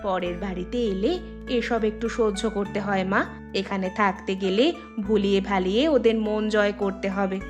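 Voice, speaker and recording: A woman's voice: mid-range voice, fairly even intonation, moderate pace, music in the background, lightly acted. A woman voicing a humble but calculating mother in a cartoon. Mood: scheming, hushed. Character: humble, calculating mother moving in with her brother. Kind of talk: Bengali cartoon voice acting.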